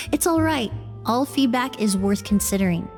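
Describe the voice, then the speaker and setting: Composed, higher-voiced perfumer. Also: female voice, lively intonation, soft background music. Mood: gentle, reflective. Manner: measured, articulate, explanatory. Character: composed, thoughtful adult female perfumer, polite and precise. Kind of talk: video game voice acting.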